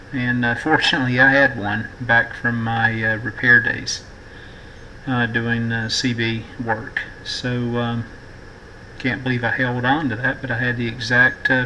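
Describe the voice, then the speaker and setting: A middle-aged man giving a casual electronics tutorial in a halting, thinking-aloud way, as the host of a repair video. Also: medium pitch, sharp tone, rough recording. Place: indoor workbench. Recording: clear background noise.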